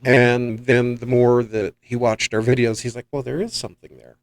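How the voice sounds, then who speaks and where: A man's voice: medium pitch, flat delivery, moderate pace. A man hosting a podcast, telling rambling, enthusiastic anecdotes in a casual chat. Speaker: podcast host and paranormal investigator.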